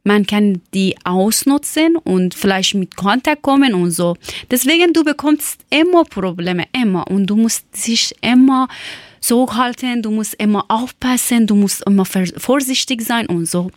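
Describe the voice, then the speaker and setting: A woman from Iran, speaking German as a learned language, tells her story haltingly and searches for words with many fillers. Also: fairly high pitch, animated, no echo, clean studio sound. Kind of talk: personal account.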